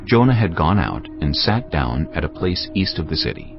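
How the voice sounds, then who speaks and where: A man narrating scripture for a dramatized audio production in a deliberate storytelling manner. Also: sits low, big pitch swings. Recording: dull band-limited audio, faint music.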